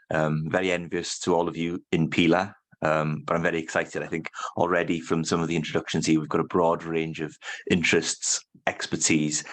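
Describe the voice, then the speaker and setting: Very deep flat-voiced man. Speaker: supporter from a space society. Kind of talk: brief introduction on a call.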